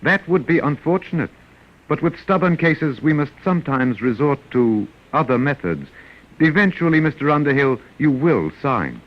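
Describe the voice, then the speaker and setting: A courteous robot servant, played by a man in an old radio play, speaking rather high with lively, formal intonation. It is relentlessly helpful and quietly menacing. The recording is muffled and vintage. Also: moderate pace, slight background noise, close to an everyday voice. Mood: polite menace. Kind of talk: 1950s radio drama acting.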